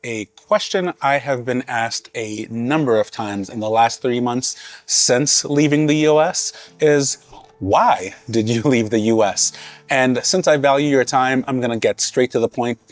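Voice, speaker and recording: A man in his thirties speaks straight to his viewers in a casual, earnest vlog. His voice sits at an ordinary middle pitch, and he talks in a relaxed, reflective way. Faint music plays quietly under his voice. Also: dry recording. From Haiti, raised in Massachusetts. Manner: earnest, conversational, unhurried. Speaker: vlogger. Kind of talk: casual reflective talk to camera.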